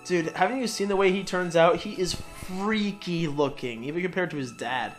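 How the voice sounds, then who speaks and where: A man giving casual, excitable, jokey game commentary, sometimes slipping into mock voices. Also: sits high, normal speed, soft background music. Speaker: Let's Play commentator. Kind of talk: casual banter over game cutscenes.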